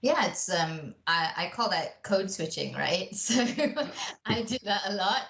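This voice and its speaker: A woman's voice: medium pitch, expressive, a little slow, creaky, fairly bright tone. A woman from Malaysia with a British accent, talking reflectively in a conversational interview and often checking with "right?". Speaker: podcast guest, mentor and coach. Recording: roomy, echoey sound.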